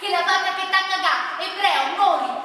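A woman's voice: extremely high pitch, normal speed, pushed and bright. A woman performing an experimental theatre monologue as a caustic, agitated narrator, chanting insistently. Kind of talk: experimental theatre monologue, rhythmic declaimed recitation. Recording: distant stage recording, echoey hall, hiss.

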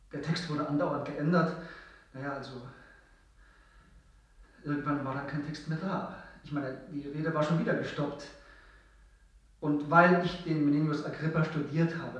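A man's voice: a little high, fairly bright tone. A man acting in a radio play as a nervous, eager, self-absorbed actor, hesitant and apologetic. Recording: very echoey, distant from mic.

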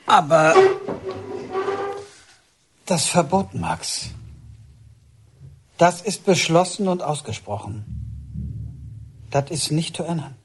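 An actor playing a dutiful, stubborn official in a radio drama, speaking stiffly in clipped, insistent phrases. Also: male voice, on the high side, expressive, slow pace, pauses often, husky, exaggerated voice. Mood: stiff, reluctant. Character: dutiful, stubborn male official delivering orders.

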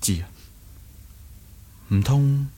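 A man's voice: mid-range voice, very slow, warm tone. A man with a Hong Kong Cantonese accent playing an earnest, defensive young martial-arts hero in a radio drama, pleading with emphasis. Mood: pleading, hurt.

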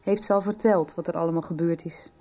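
An actress plays a woman teacher in a radio soap, her voice on the low side and very mellow. She confides gravely, choosing words with hesitation and keeping everything measured and understated. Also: steady tone, soft background music, lightly acted. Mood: concerned, attentive. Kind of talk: naturalistic 1990s radio drama dialogue.

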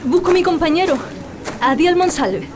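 High-pitched, anguished Chilean woman. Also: lively intonation, moderate pace, a crowd in the background. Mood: anxious, pleading. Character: anguished, insistent woman searching for her missing partner. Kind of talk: radio drama acting.

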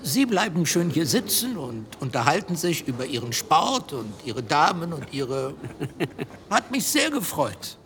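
An actor playing a chatty, shady middle-aged gambler with a sentimental streak, colloquial and theatrical in a German radio drama. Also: male voice, fairly high pitch, big pitch swings, measured pace, a bit bright. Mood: smug, admiring. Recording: reverberant room, faint ambient noise.

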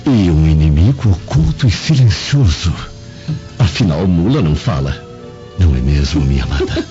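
An actor in a radio drama playing a bold, impatient, lovestruck schemer with an insistent, sardonic way of talking. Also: male voice, sits low, sing-song, normal speed, warm tone. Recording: muffled radio drama, faint music.

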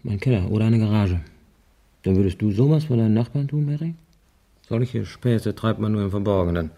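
An actor playing a confident husband who speculates over grim clues in a conversational, assertive way in a radio crime drama. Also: male voice, lowish voice, animated, fairly quick, warm tone. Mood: grimly certain.

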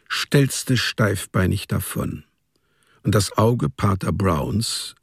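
Deep, gravelly male narrator. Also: no room sound, studio quality. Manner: measured, deliberate storytelling. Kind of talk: scripted literary narration for radio drama.

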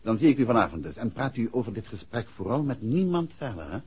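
Courteous investigator, lively husky male voice. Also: mid-range voice, quick pace. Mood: polite, coaxing. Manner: polite, coaxing. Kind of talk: 1960s radio drama acting. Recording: muffled old 1960s radio recording.

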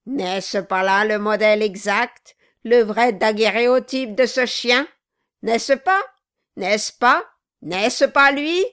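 A woman voicing a cruel, violent male dwarf in an audiobook, loud and mocking. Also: on the high side, unhurried, clear, resonant tone. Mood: frenzied. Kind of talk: audiobook reading.

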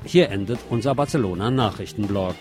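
A man's voice: lowish voice, fairly quick, loud music behind the voice. A man presenting a radio magazine as host, reading scripted narration with personal asides.

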